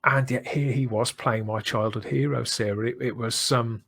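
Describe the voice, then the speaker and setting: A man's voice: mid-range voice, fairly even intonation, moderate pace, vocal fry, fairly bright tone, breathy edge. A middle-aged man hosting a podcast in a casual, enthusiastic fan discussion, speaking effusively and emphatically in long, discursive turns. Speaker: podcast host.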